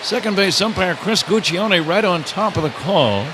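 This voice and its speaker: Higher-voiced man calling baseball over crowd noise. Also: animated, moderate pace. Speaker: baseball radio play-by-play announcer. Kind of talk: live play-by-play commentary. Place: ballpark broadcast booth. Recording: crowd and stadium noise behind. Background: ballpark crowd and ambient noise.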